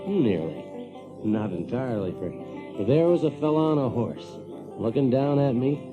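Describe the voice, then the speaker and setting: A man's voice: medium pitch, moderate pace, narrow, tinny audio, music in the background, natural voice. An actor playing a brash, practical Yankee factory man who tells his tale in a folksy, chuckling way for an audio drama. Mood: amused, boastful. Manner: folksy, chuckling, animated, drawling emphasis. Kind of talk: audio drama first-person storytelling.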